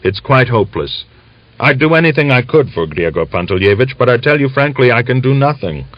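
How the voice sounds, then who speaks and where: A man's voice: mid-range voice, lively intonation, a little fast, no room sound, voice pushed up, close to an everyday voice. Casting: man doing a tired frank doctor, raised and earnest, dull dry sound. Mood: blunt. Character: weary country doctor, blunt. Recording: dull lo-fi audiobook recording.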